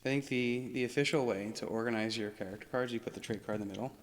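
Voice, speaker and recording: A man with a medium-pitched voice talks casually across a game table as he teaches the game. He is easygoing and likes a small joke, speaking in an unscripted, conversational way. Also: fast talker, a little hiss or hum, poor audio. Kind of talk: casual chat during a board game.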